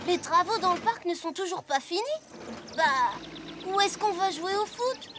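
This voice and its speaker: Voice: extremely high pitch, normal speed. A performer playing a small, curious, innocent child in a French children's cartoon, eager and sweet. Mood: puzzled. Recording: loud music behind the voice.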